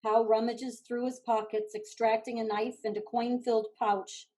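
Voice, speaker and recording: A woman's voice: on the high side, flat delivery, moderate pace, mellow, poor audio. A woman narrating stage directions in a scripted play reading.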